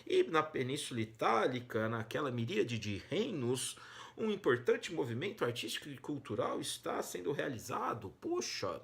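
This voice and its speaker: A man's voice: medium pitch, big pitch swings, moderate pace, vocal fry. A man with a Brazilian accent giving an informal lesson to students, speaking emphatically and colloquially, with rhetorical questions. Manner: emphatic, colloquial, rhetorical questions, swinging between stressed and hushed phrases. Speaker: humanities teacher and exam-prep host. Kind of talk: informal educational monologue to students.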